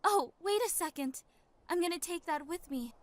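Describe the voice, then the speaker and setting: An actress playing a gentle, earnest young girl in a fan radio drama. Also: very high-pitched, expressive, moderate pace, no room sound.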